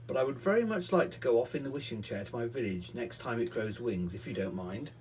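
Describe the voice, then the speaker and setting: A man's voice: medium pitch, expressive, fairly quick, a little mellow, slight background noise, close to an everyday voice. Storybook pixie, male, kindly, worried, earnest, as a man puts him on. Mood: anxious.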